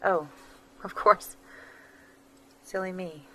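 A bright, guarded estranged wife. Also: female voice, on the low side, steady tone, slow pace, slight background noise, rough recording. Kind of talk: audio drama acting.

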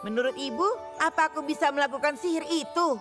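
A brave young cartoon hero, voiced by a woman, talks in a very high, child-like voice. The delivery is earnest and eager, with loud music underneath. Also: fast talker, natural voice. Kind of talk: dubbed children's cartoon acting.

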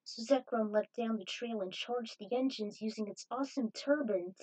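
A woman's voice: a little high, normal speed, darkish tone, poor audio. A woman reading a scripted adventure story aloud as narrator, in a dramatic manner.

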